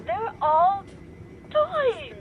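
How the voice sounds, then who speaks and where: A woman's voice: very high-pitched, sing-song, extremely slow, full character voice. A woman playing an earnest, uneasy store hostage in an audio drama, speaking over a telephone. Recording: muffled phone line, faint background noise.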